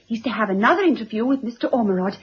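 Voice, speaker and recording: An actress playing a defiant, wounded young daughter in a radio drama, speaking emphatically. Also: female voice, fairly high pitch, animated, very fast, breathy edge. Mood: earnest. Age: young adult. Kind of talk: 1970s radio drama acting. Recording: muffled old radio recording.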